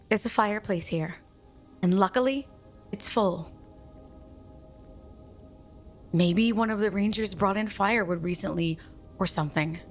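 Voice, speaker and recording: A woman's voice: medium pitch, natural voice. A woman playing a bossy, irritable mean girl in an ASMR roleplay, with an exasperated, scolding and sarcastic way of talking. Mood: brisk, practical. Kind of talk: ASMR roleplay audio drama.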